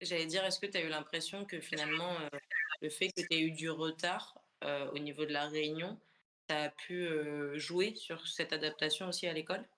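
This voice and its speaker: A woman's voice: sits low, steady tone, a little fast, a bit bright. A woman from the Paris suburbs hosting a casual online group discussion, thoughtful and searching for her words as she goes, on a voice call from home. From France, Paris suburbs. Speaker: host.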